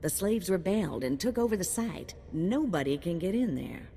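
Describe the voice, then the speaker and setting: An actress playing a folksy, easygoing bounty broker in a video game, speaking colloquially. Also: female voice, fairly low pitch, expressive, moderate pace, dark tone, big-room sound, a little hiss or hum. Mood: matter-of-fact, folksy. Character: folksy, easygoing female bounty broker, drawling gambler talk.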